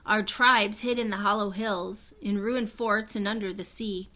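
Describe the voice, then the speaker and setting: A woman voicing a regal fairy queen in a children's storybook, proud and solemn, with a high, clear, stately voice. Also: steady tone, a little slow, pushed and bright. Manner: stately, declamatory. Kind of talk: children's storybook read-aloud.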